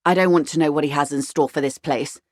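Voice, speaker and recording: An actress playing a wry, genteel, world-weary middle-aged woman of wealth in a video game, with measured, refined and dryly ironic speech. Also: deep voice, steady tone, darkish tone, no room sound, clean studio sound. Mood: sardonic. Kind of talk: scripted game dialogue voice acting.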